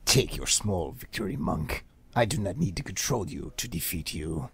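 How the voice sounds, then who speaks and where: Man voicing a gravelly, commanding evil demon. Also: sing-song, moderate pace, fairly bright tone, full character voice. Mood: menacing command. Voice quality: low, gravelly, raspy. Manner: menacing, commanding. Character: evil commanding demon disguised as a lawn flamingo.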